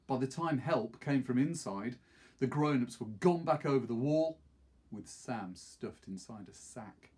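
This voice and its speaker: A man in his fifties narrates a story aloud. His voice is husky and a little rough, with an expressive storytelling delivery. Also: medium pitch, measured pace. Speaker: teacher reading aloud. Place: small wood-panelled study, indoors.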